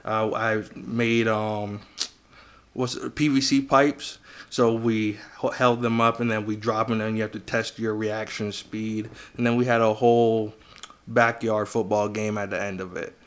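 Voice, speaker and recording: A man's voice: fairly low pitch, flat delivery, unhurried, fairly bright tone, smooth. A man with an American accent, chatting casually and informally as a guest in a podcast interview. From United States, Virginia. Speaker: podcast guest, business coach.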